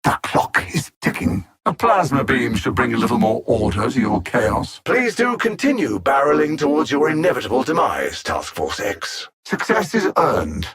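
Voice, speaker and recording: An actor playing a cold, arrogant alien android supervillain in a video game, speaking precisely with pompous, condescending menace. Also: male voice, mid-range voice, sing-song, normal speed, creaky, hardly any pauses, a bit bright. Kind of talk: scripted video game villain dialogue.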